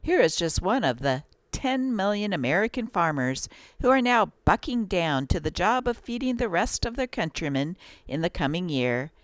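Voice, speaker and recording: A woman's voice: on the low side, sing-song, moderate pace, no room sound. A woman narrating from a script as host, reading an old newspaper aloud.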